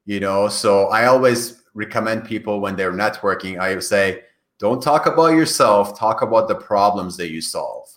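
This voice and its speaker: A man's voice: on the low side, moderate pace, a bit bright. A man who grew up in Iran and speaks Farsi as his first language, answering podcast interview questions in an earnest way, often restarting and repeating words. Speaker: podcast guest. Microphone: call.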